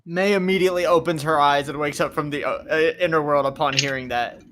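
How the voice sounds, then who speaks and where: A man's voice: a little high, steady tone, a little fast, noisy background. A man roleplaying at a tabletop game, brash and blunt, narrating his character's actions casually.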